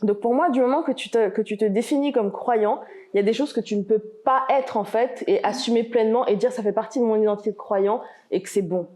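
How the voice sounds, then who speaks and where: A woman's voice: on the high side, a little fast, dark tone. A woman on a debate panel, laying out her view in a firm, reasoning way. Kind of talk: debate argument.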